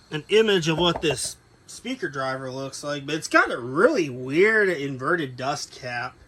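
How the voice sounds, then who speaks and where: A man with a somewhat high-pitched voice talks casually through a piece of gear he collects. His delivery is unscripted and rambling, and he corrects himself as he goes, like an informal hobbyist reviewer. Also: fairly bright tone. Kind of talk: casual unscripted product overview.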